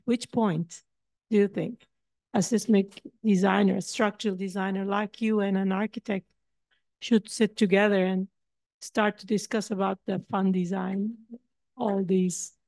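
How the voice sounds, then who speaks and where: A woman architect in the audience asks a long, winding question at a talk. Her voice sits at a middle pitch, and the sound is dry. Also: darkish tone, dry recording. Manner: rambling, long-winded question.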